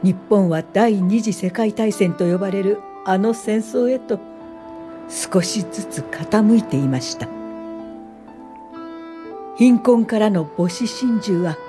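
Radio drama lead: earnest female welfare campaigner, polite careful narration, mid pitch, scored with music. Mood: grave, recollecting. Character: earnest woman welfare activist recounting her own past.

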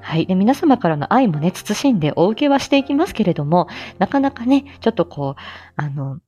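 A woman's voice: medium pitch, sing-song, brisk, dark tone, soft background music. A woman hosting a casual livestream, talking in a humble, effusive and playfully feisty way. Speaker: livestream host, amateur voice actor.

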